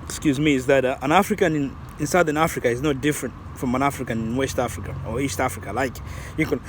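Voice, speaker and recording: A man's voice: mid-range voice, steady tone, fast, a little hiss or hum. A man, talking casually and reflectively in a vlog, rambling with many restarts. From Zambia. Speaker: vlogger.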